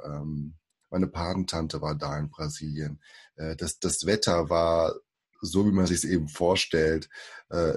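A man's voice: low voice, vocal fry, darkish tone, husky. A man in his forties, born in Brazil, talking as a podcast guest in a hesitant way with many fillers and restarts. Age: 40s. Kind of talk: casual interview talk.

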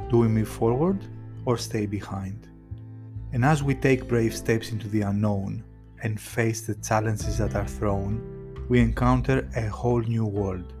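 A man hosting a podcast, reading a reflective scripted intro and then chatting with his guest with a few hesitations. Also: lowish voice. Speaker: podcast host. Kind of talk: scripted intro narration, then conversational interview. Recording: music playing under the voice. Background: guitar music.

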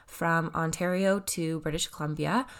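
A woman's voice: sits low, steady tone, moderate pace, clean tone. A woman in her twenties with a stuffy, congested nose, talking casually and rambling with lots of fillers and self-corrections. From Canada. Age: 20s. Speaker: vlogger. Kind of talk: casual personal talk to camera.

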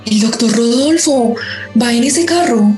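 Woman acting high-voiced, troubled young Colombian daughter. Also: a little slow, darkish tone, faint music behind, natural voice. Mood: alarmed. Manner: respectful yet insistent. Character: troubled, questioning young daughter seeking justice. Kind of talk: audio drama acting. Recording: roomy sound with rain and thunder.

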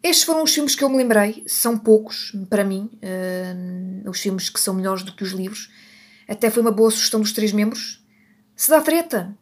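A woman's voice: medium pitch, expressive, moderate pace, a little mellow. A Portuguese woman hosting a podcast, chatting without a script, often correcting herself and laughing at her own slips. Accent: European Portuguese. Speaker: podcast host. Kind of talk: unscripted solo podcast chat.

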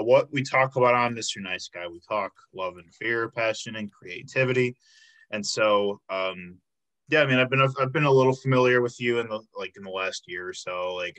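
A young male host from Illinois runs a casual interview and keeps it friendly and chatty, full of "like" and "um". His voice sits a bit low but sounds very bright and forward. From Illinois, United States. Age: 20s. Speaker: podcast host.

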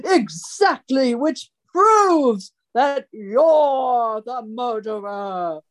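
A pompous radio-play detective, acted by a woman, speaks in a fairly high voice. The delivery is very slow and declamatory, quick to accuse and fond of lecturing, in the manner of a comic murder mystery. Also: animated. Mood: smug, accusing.

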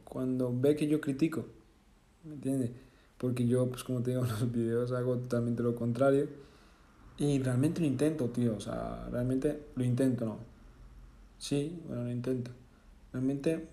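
A man's voice: mid-range voice, darkish tone, roomy sound. Earnest man, reflective vlog. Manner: casual and earnest, with self-corrections and tag questions. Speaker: vlogger. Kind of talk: reflective personal monologue.

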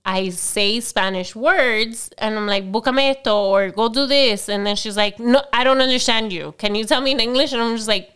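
Chatty woman with a smooth voice. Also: fairly high pitch, moderate pace, hardly any pauses, a bit bright. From Miami, United States. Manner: chatty, mixes English and Spanish phrases. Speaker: podcast co-host. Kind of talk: casual chat.

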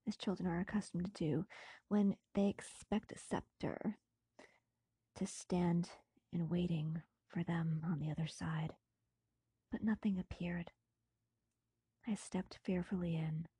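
Very breathy, hushed woman narrator. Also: fairly low pitch, no echo. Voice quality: breathy, husky, often near a whisper. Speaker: audiobook narrator. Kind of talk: dramatic scripted narration of a classic novel.

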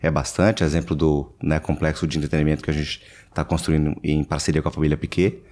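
Male guest, deep low voice, easy hesitant chat, from Brazil. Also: fast. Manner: relaxed, hesitant, many fillers. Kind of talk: casual interview chat.

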